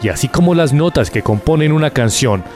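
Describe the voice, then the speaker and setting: A man's voice: a little high, expressive, a little fast, darkish tone, faint music behind. A man narrating a report in a solemn, lyrical scripted style. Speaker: news narrator.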